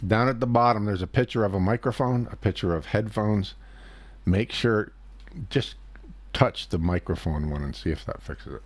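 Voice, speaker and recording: A man's voice: fairly low pitch, moderate pace, no echo. A man hosting a casual voice-chat discussion, pressing the others with questions and cutting in to get at the point. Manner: probing, interrupts to press for answers. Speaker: host. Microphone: computer mic in a voice chat.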